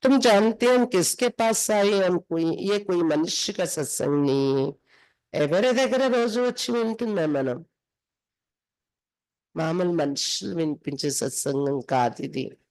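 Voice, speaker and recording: A woman's voice: fairly low pitch, expressive, unhurried, a little mellow, low-quality recording. A woman from India, reading scripture aloud and explaining it phrase by phrase as a spiritual teacher. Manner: instructive, reads then translates and explains phrase by phrase.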